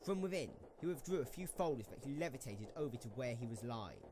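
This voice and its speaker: A lightly noisy home recording of a man narrating a story. His pitch is middling and moves up and down in an animated way as he reads the scripted prose. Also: brisk. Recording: slightly noisy amateur microphone recording.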